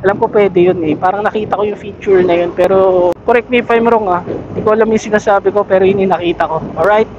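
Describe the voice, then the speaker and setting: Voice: medium pitch, fairly even intonation, fast, dull audio. A motovlogger with a Manila Filipino accent, rambling casually while testing a camera outdoors on a motorcycle at night. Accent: Filipino, Manila area. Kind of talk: casual rambling vlog chat. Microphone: intercom headset mic, sometimes built-in camera mic. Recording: clear vehicle and wind-like ambient noise. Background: vehicle noise.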